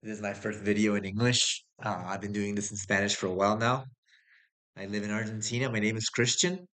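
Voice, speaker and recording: Casual vlogger, young man, low flat voice, rambling delivery with lots of fillers. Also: poor audio. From United States. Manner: rambling, halting, many fillers and restarts. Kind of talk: casual personal monologue.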